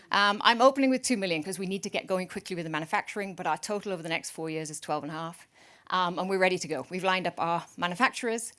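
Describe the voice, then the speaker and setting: A woman chief executive speaks from a conference stage, pitching her company to the investors in the room. Her delivery is energetic and salesy, with a joking edge. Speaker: startup CEO pitching to investors.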